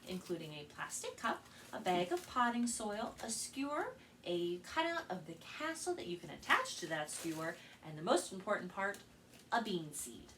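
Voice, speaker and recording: An American woman hosts a children's storytime with a bright voice and a very lively, expressive melody. She speaks like a practiced storyteller presenting to young listeners. She sounds a little way from the microphone, with faint noise behind her. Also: on the high side. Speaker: children's librarian and storytime host. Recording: distant mic with faint background noise.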